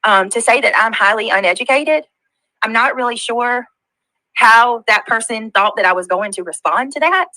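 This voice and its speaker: A woman with a Southern US accent talks to her livestream viewers in a bright, forward voice. She halts often with fillers and sounds hurt and defensive. The audio is dry and close. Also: a little high, moderate pace, no room sound, poor audio. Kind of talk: casual livestream talk.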